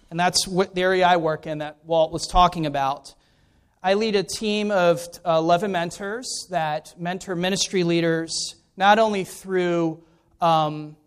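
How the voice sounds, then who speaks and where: A middle-aged American man speaks as a visiting preacher. His voice sits high for a man and rings clean and smooth. He talks in an informal, conversational way with a self-deprecating streak. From Maryland, United States. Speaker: guest preacher, pastor. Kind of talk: informal spoken introduction to a sermon.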